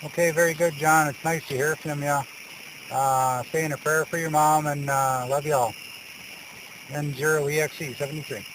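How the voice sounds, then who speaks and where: A man's voice: on the high side, steady tone, normal speed. An American man in casual radio chat as an amateur radio operator, talking outdoors. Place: outdoors at a hilltop campsite. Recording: noisy outdoor camcorder audio.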